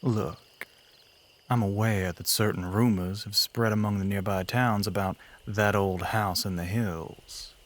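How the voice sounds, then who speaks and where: A man playing a courtly, softly menacing Southern vampire in an intimate audio roleplay, speaking softly and breathily, often near a whisper, with a Southern US accent. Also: lowish voice, fairly even intonation, a little slow, close to an everyday voice. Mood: calm warning.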